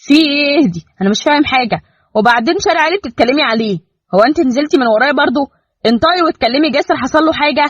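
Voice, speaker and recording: Brief: woman doing a stern, protective Egyptian cop husband, husky, rapid-fire, dull dry storybook audio. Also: high-pitched, expressive, no room sound, voice pushed up. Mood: angry. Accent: Egyptian Arabic. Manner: firm, lecturing, rapid. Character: protective young male police officer, stern but loving husband. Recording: dull, muffled, dry recording.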